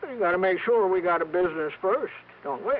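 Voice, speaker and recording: A man's voice: sits high, expressive, fairly quick, a little hiss or hum. An actor playing a brash, folksy rich American oilman with shady ways and blustering, ungrammatical talk in a 1950s radio drama. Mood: breezy, stubborn. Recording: dull vintage radio recording with music.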